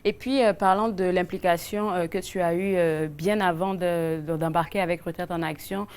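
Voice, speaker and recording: A woman's voice: on the low side, fairly even intonation, darkish tone, clear, resonant tone. A woman hosting a community talk show, introducing guests and interviewing in a friendly, conversational way. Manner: friendly, conversational, quick to affirm. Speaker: TV show host.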